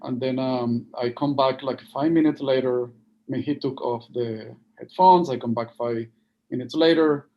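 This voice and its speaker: A man from Venezuela with a strong Venezuelan Spanish accent, speaking as a guest on a livestream interview over a video call, halting and full of fillers and restarts. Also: medium pitch, steady tone, muffled recording. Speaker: guest, NGO project leader.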